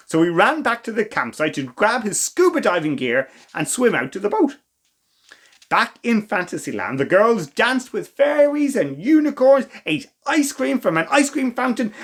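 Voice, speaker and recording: A man reading a children's story as a storytime host, with expressive, theatrical emphasis and asides to the listeners. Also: high voice, measured pace, breathy edge. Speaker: storytime reader.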